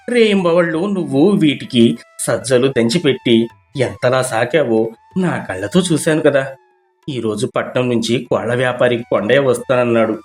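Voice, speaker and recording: A man's voice: medium pitch, close to an everyday voice. A man voicing a humble, anxious village poultry farmer with a respectful, pleading manner in a moral story. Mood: hopeful.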